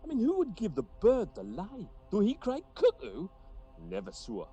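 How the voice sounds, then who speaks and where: A foolish, cocky rustic played by an actor blusters and chuckles through comic Shakespearean radio drama. The voice is mellow and very animated, swinging up and down, with music behind. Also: mid-range voice, moderate pace, husky, dull audio. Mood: bemused, cocky. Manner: blustering, chuckling, wildly swooping delivery. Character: cocky, foolish rustic man with a donkey's head.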